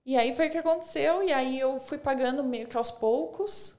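Chatty young Brazilian woman, slightly high. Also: moderate pace, a little mellow. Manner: chatty, storytelling, frequent "tipo" and "sabe". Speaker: podcast guest, violinist. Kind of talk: casual interview chat.

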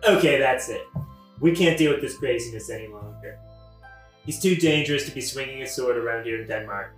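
A man's voice: medium pitch, fairly quick, natural voice. An actor playing a boastful, peevish king with a pompous, superlative-heavy way of talking in a satirical audio play. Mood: bossy, irritated. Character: boastful, self-congratulating, peevish modern-slang king. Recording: roomy, distant mic, music behind.

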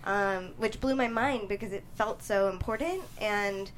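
A woman with a medium-pitched voice talks as an interviewed screenwriter. She is informal and hesitant, and there is clear background noise. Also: unhurried, low-quality recording. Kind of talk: casual interview talk.

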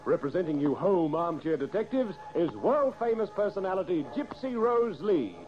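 A man's voice: high voice, lively intonation, normal speed. A man announcing a 1940s radio program in a grand, dramatic manner. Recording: muffled old radio recording. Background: loud program music.

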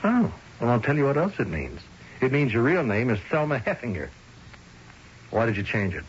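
Male police lieutenant: skeptical, flustered, sarcastic, swinging pitch, in a dull, noisy vintage radio mystery. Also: sing-song, moderate pace, slightly rough. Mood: suspicious. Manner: flustered, hesitant stammering, sarcastic. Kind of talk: old-time radio mystery drama acting. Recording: dull, noisy old radio broadcast recording.

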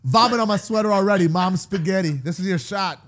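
A man's voice: high-pitched, brisk, fairly bright tone. A man co-hosting a casual talk show, reacting with playful teasing remarks. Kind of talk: casual chat.

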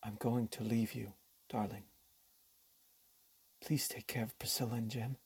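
Woman playing a very low-voiced, weary, desperate husband. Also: steady tone, unhurried, a little mellow, dry recording, exaggerated voice. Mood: despairing. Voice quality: low, husky. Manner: grave, subdued, resolute. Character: defeated, weary husband and father, quietly desperate. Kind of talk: radio drama acting.

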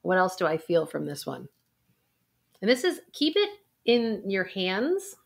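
A woman coaching viewers through a guided intuition exercise, with soft sighs and a calm, unhurried delivery. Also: mid-range voice, gravelly. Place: indoors, recording a video.

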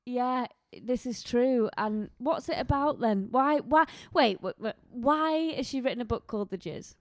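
A woman's voice: fairly high pitch, a little slow, no room sound, studio quality. A British woman in her mid twenties, co-hosting a podcast with casual, joking banter. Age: mid 20s. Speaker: podcast co-host.

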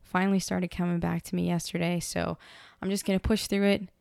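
A woman hosting a casual book podcast, chatty and rambling, her voice hoarse from being sick. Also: fairly low pitch, little pitch movement, a little fast, darkish tone, dry recording, studio quality. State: hoarse from illness. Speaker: podcast host.

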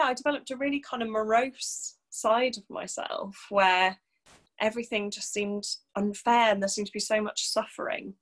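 A woman's voice: mid-range voice, fairly bright tone. A woman in her thirties from North Wales, a coach, talking openly and reflectively as a podcast guest, with many fillers. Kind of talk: casual interview chat.

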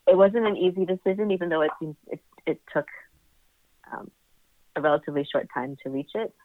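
Middle aged woman being interviewed, kinda halting n reflective, sounds like a phone call, dry. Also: fairly low pitch, no room sound. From born in Singapore, raised there and in the United States. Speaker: interview guest. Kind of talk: reflective personal conversation. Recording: narrow, phone-like call audio.

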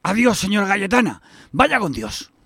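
A man's voice: high voice, big pitch swings, moderate pace, vocal fry, fairly bright tone, slightly rough, no room sound, studio quality, exaggerated voice. A man from Spain playing a greedy, bossy, scornful middle-aged eldest brother in a radio soap. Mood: scheming. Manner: exclamatory, scornful, sarcastic. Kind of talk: amateur radio soap acting.

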